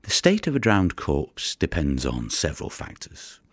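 An actor playing a haunted, weary fisherman in an audio drama monologue, with a gravelly, breathy voice and a hushed, brooding delivery. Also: deep voice, huge pitch swings, no echo, clean studio sound, full character voice. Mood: grim, matter-of-fact. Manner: hushed, deliberate, brooding storytelling. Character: haunted, weary Mediterranean fisherman who recovers drowned bodies.

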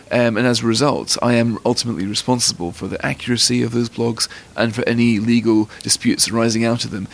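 A man with a posh Scottish accent speaks in measured, articulate sentences as an interview guest. His voice has a gravelly, creaky edge at mid pitch, and he sounds like an editor weighing each point. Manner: articulate, fluent, considered.

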